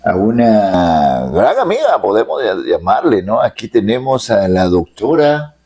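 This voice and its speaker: A man's voice: lowish voice, big pitch swings, measured pace, dark tone. A man from Peru hosting a casual interview, chatty and playful, with lots of uh-huhs while his guest talks. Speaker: interviewer, digital creator.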